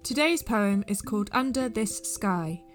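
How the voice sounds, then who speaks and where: A woman presents a podcast from a script in a medium-pitched voice. She delivers it as a clear announcement, with guitar music playing faintly behind her. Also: animated, unhurried. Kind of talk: scripted podcast introduction and outro.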